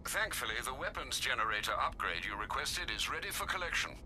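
A very crisp, bright older butler. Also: male voice, mid-range voice, very animated, brisk, slight background noise. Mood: concerned, businesslike. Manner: formal, polite, concerned. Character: dutiful, concerned older male butler. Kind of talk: video game drama acting.

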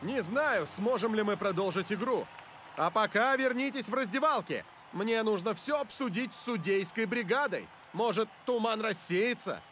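A man's voice: sits high, animated, moderate pace, fairly bright tone, slight background noise. An actor playing a concerned, official male football referee in a cartoon, explaining things formally. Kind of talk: animated cartoon dub.

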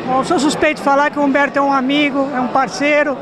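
A middle-aged man, hosting a TV interview at a gala and talking effusively into a handheld mic. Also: very high-pitched. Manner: effusive, fast talking, flattering. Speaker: TV program host interviewer. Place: large indoor ballroom party. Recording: noisy crowded ballroom. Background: crowd chatter and band noise.

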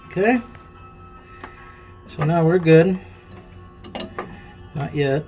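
A man's voice: a little high, very slow, faint music behind. Laid-back man talking through a boat repair. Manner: relaxed, thinking aloud while working, plain folksy talk. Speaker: boat owner showing a repair. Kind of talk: casual hands-on how-to narration. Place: aboard a boat, in the bilge.

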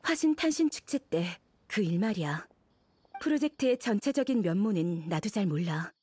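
A woman playing a guarded, pragmatic female scholar-assistant in game dialogue, deliberate and matter-of-fact. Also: medium pitch, expressive, darkish tone, breathy edge. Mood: guarded, explaining.

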